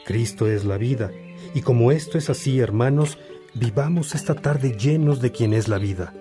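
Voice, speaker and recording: A man's voice: mid-range voice, moderate pace, over music, close to an everyday voice. A man acting a devout, earnest churchman in a religious radio drama, speaking with solemn, reflective, formal phrasing. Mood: solemn, fraternal.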